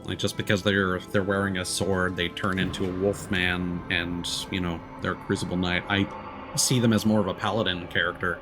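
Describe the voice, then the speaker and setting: A man livestreaming a game, reading lore text aloud in a measured, dramatic way. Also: low voice, little pitch movement. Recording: music behind the voice. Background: game music.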